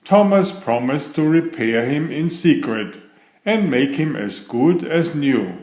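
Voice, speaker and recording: A man reading a children's bedtime story aloud in a deliberate, expressive storytelling manner. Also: on the high side. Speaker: storybook reader. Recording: roomy, echoey sound.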